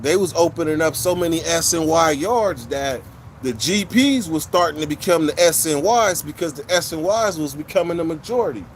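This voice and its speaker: Man, loose rambling livestream host, street slang and swearing, pitched a touch high for a man, light hiss behind him. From California. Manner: blunt, profane street slang, rambling storytelling. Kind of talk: casual livestream chat with viewers.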